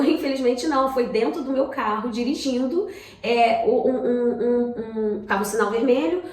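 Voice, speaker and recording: Higher-voiced Brazilian woman, echoey room. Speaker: talk show co-host. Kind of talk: casual conversation, personal anecdotes.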